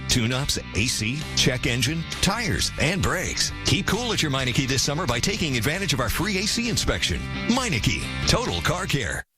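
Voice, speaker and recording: A man with an American accent reading a scripted commercial voice-over as an announcer. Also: medium pitch. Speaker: advert announcer.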